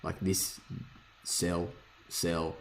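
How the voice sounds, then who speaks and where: Hoarse low-voiced man rambling. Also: little pitch movement, drawn-out words, slight background noise, rough recording. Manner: rambling, swears freely, self-correcting. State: hoarse, lost voice from screaming. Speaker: forex trader reviewing charts. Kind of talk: casual thinking-aloud chart review.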